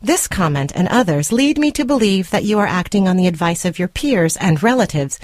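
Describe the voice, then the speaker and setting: A woman acting a controlling, jilted girlfriend in a radio short story. Her lowish voice is officious and precise, coldly formal and condescending. The sound is close and dry, as in a studio. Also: expressive, fairly quick, dry recording, lightly acted. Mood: officious, controlled.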